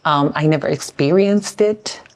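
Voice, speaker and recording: A woman with a low, steady voice conducts an interview. She is from South Sudan and speaks thoughtfully, often repeating a word while she works out her question. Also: a little slow. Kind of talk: conversational interview.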